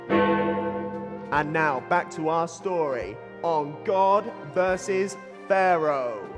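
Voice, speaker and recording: A man playing a cheesy news anchor, presenting a scripted mock newsreel in an announcerly, punning style. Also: on the high side. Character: cheesy male newsreader anchor delivering dad-joke bulletins. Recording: long hall reverb, loud music bed.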